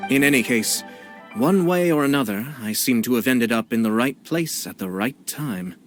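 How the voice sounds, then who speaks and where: A man's voice: medium pitch, animated, faint music behind, natural voice. A man playing a refined, courteous and sly young nobleman in a video game, formal and playful. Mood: amused, composed. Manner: formal, measured phrasing, playful. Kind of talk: polished video game acting.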